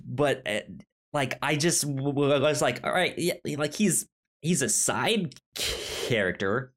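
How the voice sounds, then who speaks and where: A man talks casually as a film podcast co-host in an informal, rambling way. His speech is halting, with a stutter that repeats sounds and syllables before words come out. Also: medium pitch, fairly bright tone. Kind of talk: casual movie discussion.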